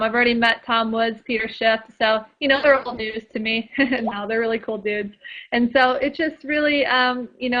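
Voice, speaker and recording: A woman guest in a casual radio interview, chatty and full of fillers and restarts. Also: on the high side. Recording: dull lo-fi sound.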